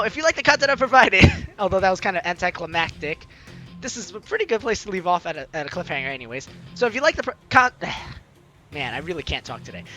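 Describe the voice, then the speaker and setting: A woman's voice: mid-range voice, a bit bright. A woman hosting a let's play, hammily reading game narration and lines aloud with playful asides. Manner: playful, hammy read-aloud with ad-libbed asides. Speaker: gaming host. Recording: background game music behind voice.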